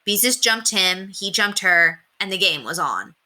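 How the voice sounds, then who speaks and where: A woman's voice: medium pitch, expressive, measured pace, pushed and bright. A woman narrating a children's story aloud from a book.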